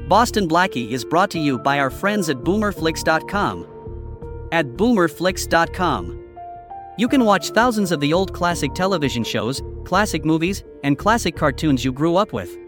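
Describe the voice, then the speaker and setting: A man hosting a classic radio podcast, reading a scripted introduction and promo. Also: a little high. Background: loud music.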